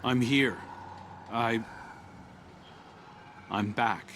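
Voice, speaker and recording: An actor playing a weary, guilt-ridden, earnest hero in a video game, with a hesitant, naturalistic delivery. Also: male voice, medium pitch, drawn-out words, a bit bright, slight background noise. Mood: pained. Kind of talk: dramatic video game acting.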